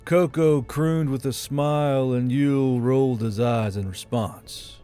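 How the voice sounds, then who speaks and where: A man's voice: medium pitch, fairly even intonation, unhurried, warm tone, smooth. A man reading military fantasy fiction as a scripted audiobook narration. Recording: music under the voice.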